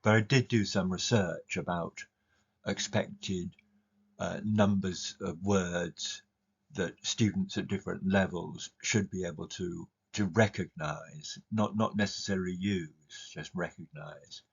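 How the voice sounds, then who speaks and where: A man presenting slides as a teacher, explaining things in a hesitant, halting way with repeated words. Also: low-pitched, fairly even intonation, creaky, husky. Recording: slightly dull, lo-fi recording.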